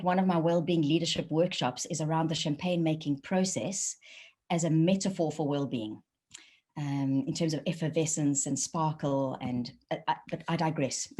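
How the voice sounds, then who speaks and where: A woman's voice: low voice, breathy edge. A woman with a South African accent, giving an online wellbeing talk and telling personal stories with light laughs. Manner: animated storytelling with light laughs. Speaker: wellbeing speaker. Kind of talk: webinar talk. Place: home office.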